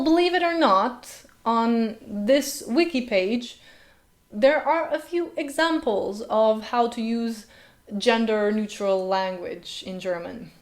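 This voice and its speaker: A woman's voice: fairly high pitch, a little mellow. Sarcastic woman linguist. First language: Slovenian. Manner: sarcastic, full of rhetorical questions. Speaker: linguist and video host. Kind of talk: commentary vlog.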